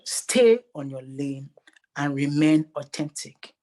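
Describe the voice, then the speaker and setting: A man's voice: on the high side, animated, slow pace, mellow. A man with a Nigerian accent speaking earnestly and emphatically as a motivational interview guest, repeating phrases for effect.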